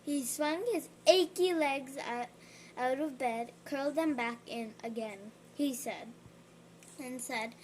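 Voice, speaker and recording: High-voiced woman reading a storybook slowly. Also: slight background noise. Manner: slow, gentle reading with chatty asides to the listener. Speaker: storybook reader. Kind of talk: reading a picture book aloud.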